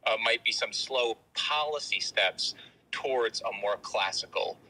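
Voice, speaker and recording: A man calling in to a live show with a question, phrasing it haltingly and carefully with many qualifications. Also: on the low side, creaky, sharp tone, breathy edge, rough recording. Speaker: caller.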